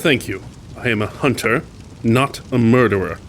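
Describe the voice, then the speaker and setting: An actor playing a principled young American gentleman hunter, earnest and incredulous, in a dramatized audiobook. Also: medium pitch, normal speed, slight background noise, lightly acted. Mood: indignant, wary. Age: young adult.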